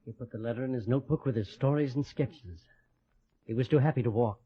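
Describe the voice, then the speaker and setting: An actor narrating an old-time radio drama as a solemn, lyrical storyteller. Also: male voice, mid-range voice, quick pace, husky, lightly acted. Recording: slightly muffled old radio recording.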